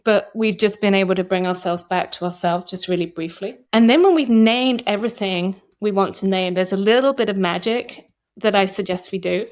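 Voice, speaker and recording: A woman in her fifties speaking as a therapist guest, explaining and guiding an exercise in a measured, instructive way. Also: mid-range voice. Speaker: guest psychotherapist and trauma coach. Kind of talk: explanatory interview talk with guided exercise.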